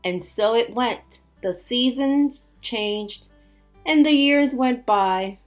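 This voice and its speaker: A woman teacher reading a storybook aloud to children, expressive and emphatic, with little chatty asides. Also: fairly high pitch, slow pace, soft background music.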